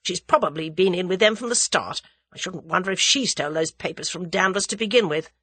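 A woman's voice: fairly low pitch, brisk, fairly bright tone, slightly rough, dry recording. A woman voicing a heartbroken, hot-tempered young man who lashes out in angry outbursts.

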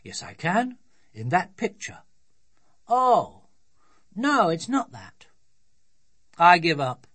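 A woman voicing a weary, put-upon father in a children's storybook, with drawn-out, desperate complaining. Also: lowish voice, wildly swooping pitch, fairly bright tone, breathy edge, pitched above the performer's own voice, exaggerated voice. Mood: flustered.

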